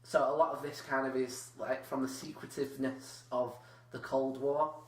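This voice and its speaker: A man's voice: medium pitch, flat delivery, normal speed, a little mellow. A young man hosting a casual comedy podcast, rambling and hedging with lots of "like"s. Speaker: podcast host. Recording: roomy echo with faint hum.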